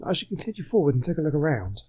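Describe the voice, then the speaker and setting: A man giving casual, low-key commentary on a game playthrough and reading on-screen text aloud. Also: big pitch swings, racing, mellow, slightly rough, rough recording. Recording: music behind the voice.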